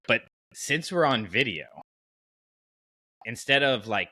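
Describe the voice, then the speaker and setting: Bright-voiced man bantering on a podcast. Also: on the low side, no echo. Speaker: podcast host. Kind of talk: casual chat.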